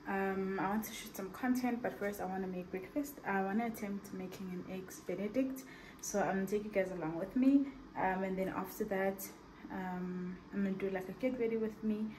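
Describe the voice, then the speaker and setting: A woman's voice: medium pitch, moderate pace, low-quality recording. Chatty woman vlogging. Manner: chatty, rambling, thinks aloud. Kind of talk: casual vlog chat to camera. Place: at home, partly in a kitchen. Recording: home video with steady background noise.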